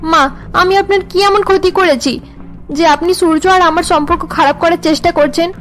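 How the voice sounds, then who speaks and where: A woman's voice: extremely high pitch, fairly even intonation, quick pace, fairly bright tone, faint music behind. A woman voicing a wronged young daughter-in-law in a melodramatic cartoon, earnest, wounded and reproachful. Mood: hurt, reproachful.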